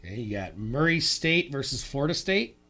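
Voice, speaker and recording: A man hosting sports radio, brisk and easygoing, keeping the picks moving. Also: medium pitch, very animated, bright, forceful tone. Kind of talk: casual radio chat. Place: radio studio.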